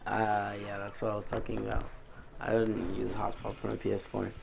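A man's voice: fairly low pitch, monotone, a little fast, creaky. A man chatting casually with friends in a game party, bragging and trash talking playfully. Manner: boastful, playful trash talk. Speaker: gamer chatting in a party while waiting for a match. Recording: muffled lo-fi game chat audio.

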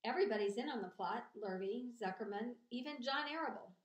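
A woman's voice: on the high side, lively intonation, fairly quick, close to an everyday voice. A woman voicing a blunt, cynical old female sheep in a storybook, gossipy, matter-of-fact and snappish. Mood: blunt, grim. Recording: echoey room.

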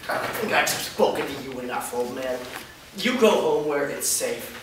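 A man's voice: mid-range voice, lively intonation, a little slow, lightly acted. A man in a staged reading playing a servile, resentful human minion who craves power, with a fawning, scheming manner. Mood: gloating menace. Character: whiny, servile human minion craving vampirehood, creepy and resentful. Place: indoor performance room, seated before an audience. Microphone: far camera. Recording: distant mic, very echoey noisy room.

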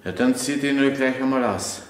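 A man's voice: mid-range voice, moderate pace, natural voice. An actor playing a falsely friendly, predatory man with a Viennese accent, hesitant and coaxing, in a radio crime drama. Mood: uneasy, insistent. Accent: Austrian, Viennese. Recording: roomy, echoing, faint noise.

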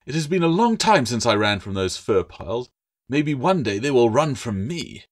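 A man's voice: medium pitch, very animated, moderate pace, pitched above the performer's own voice. A man voicing a proud, boastful talking gecko for a fantasy storybook, theatrical and easily wounded. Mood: wounded pride.

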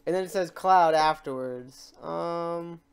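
A tutorial host with a mid-pitched voice talks over a screen recording. The delivery is unscripted and informal, rambling a little while thinking aloud through each step of the software. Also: slow, creaky, smooth.

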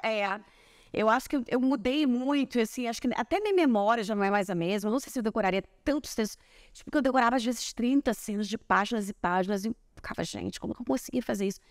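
A woman's voice: a little high, quick pace, no echo. Chatty woman, dry close sound. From Brazil. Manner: chatty, animated storytelling with hand gestures. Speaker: actress and guest. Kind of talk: relaxed interview chat. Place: large bright living room, seated on a sofa. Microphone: small clip-on mic on collar.

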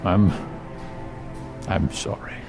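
An actor playing a bookish, courteous, gently amused male scholar in a fantasy audio drama, with a deliberate, musing way of talking full of hums. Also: music in the background. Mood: gentle.

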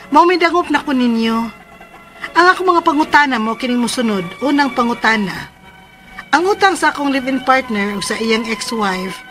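A troubled young woman with a Cebuano Filipino accent. Her voice sits high and sounds earnest and pleading, in radio-drama style. Music plays under her. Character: troubled young woman worried about her partner's debt. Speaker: drama lead and letter narrator.

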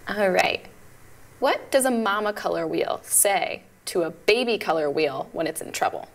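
A woman's voice: medium pitch, animated, normal speed, vocal fry. A young woman voicing a playful puppet that tells jokes on a children's show. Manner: playful question-and-answer joke telling. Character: Tuna, joke-telling puppet.

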